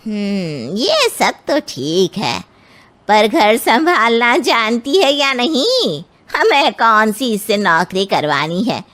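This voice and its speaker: A bossy, old-fashioned mother-in-law from a cartoon family tale, acted by a woman. She speaks very slowly in a high voice, probing and domineering as she sizes people up. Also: big pitch swings, a bit bright, full character voice. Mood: haughty, probing. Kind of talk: animated moral story acting.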